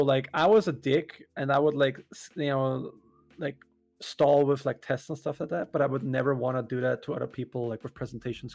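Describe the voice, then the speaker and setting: A man's voice: medium pitch, a little mellow. A man chatting casually on a group podcast, rambling with lots of fillers and laughter. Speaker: podcast co-host, VTuber.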